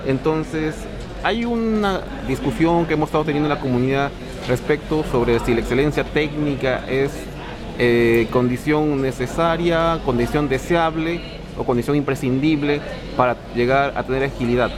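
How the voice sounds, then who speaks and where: A man's voice: mid-range voice, normal speed. A man hosting an interview, asking long questions in a hesitant way with many fillers. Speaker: interviewer, host. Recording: crowd chatter behind.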